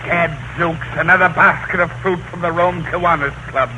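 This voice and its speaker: Actor as pompous, high-pitched Roman emperor. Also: male voice, steady tone, normal speed, natural voice. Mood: puzzled. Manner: mock-archaic, declamatory. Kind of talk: 1930s radio comedy acting. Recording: very noisy 1930s radio recording.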